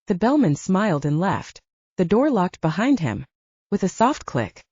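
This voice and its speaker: Expressive mellow woman narrating fiction. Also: on the low side, slightly rough, dry recording, lo-fi sound. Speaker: audiobook narrator. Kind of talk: scripted narration of a romantic thriller novel.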